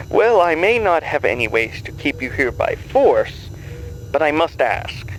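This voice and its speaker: A man's voice: a little high, slight background noise, full character voice, poor audio. A man playing a suavely menacing game show boss in an audio drama, theatrical, condescending and mock-polite. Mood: veiled menace.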